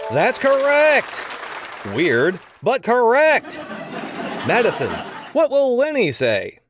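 An actor playing a slick, wry television game show host, delivering polished hosting patter. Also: male voice, extremely high pitch, wildly swooping pitch, slow, over music, exaggerated voice. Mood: slick, teasing. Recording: noisy studio crowd behind.

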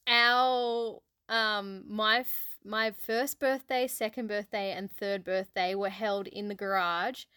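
An outgoing, loud woman whose was Hungarian, co-hosting a casual podcast chat and laughing often. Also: medium pitch, steady tone, takes it slowly, hardly any pauses, clear, resonant tone, dry recording, clean studio sound. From Australia. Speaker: podcast co-host.

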